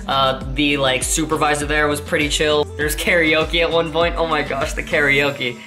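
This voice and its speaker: A man's voice: a little high, little pitch movement, moderate pace, over music. Rambling teenage boy vlogger. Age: teens. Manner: rambling, self-correcting, laughs at himself. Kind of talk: casual vlog chat. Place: small bedroom with posters.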